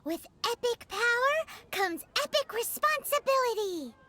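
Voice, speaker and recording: A woman voicing a tiny, eager, cheeky fairy companion in a video game, with a squeaky childlike voice and a theatrical manner. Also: lively intonation, measured pace, bright, forceful tone, full character voice. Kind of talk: cartoonish video game voice acting.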